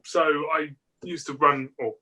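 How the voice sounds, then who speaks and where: Bright-voiced man in easygoing chat. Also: fairly high pitch, a little slow, rough recording. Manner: easygoing, trailing off and restarting. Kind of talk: casual chat.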